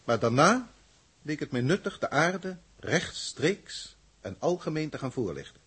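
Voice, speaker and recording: An actor playing a lofty alien starship commander in a 1960s radio play, speaking formally and deliberately. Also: male voice, a little high, very animated, fairly bright tone, breathy edge, heavily acted. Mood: lofty, explanatory. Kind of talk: 1960s Dutch science fiction radio play acting.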